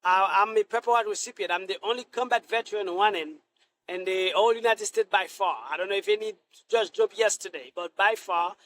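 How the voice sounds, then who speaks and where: Halting man, high voice. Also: fairly bright tone, a little hiss or hum, poor audio. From Haiti. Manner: halting, many restarts and fillers. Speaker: Senate candidate. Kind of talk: interview answers.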